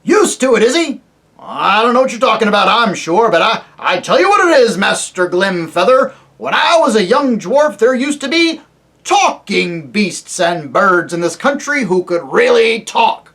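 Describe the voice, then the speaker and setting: A reader voicing a very old, deaf and irritable male dwarf who talks loudly and mishears words, in a storybook reading. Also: mid-range voice, very animated, moderate pace, voice pushed up. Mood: grumbling. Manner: loud, testy, mishears words.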